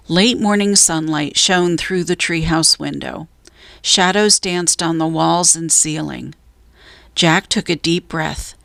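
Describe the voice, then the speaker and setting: A woman reading a children's story aloud as a narrator, in a measured, deliberate way with drawn-out emphasis. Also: on the low side, vocal fry, a bit bright, no room sound, studio quality. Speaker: storybook reader.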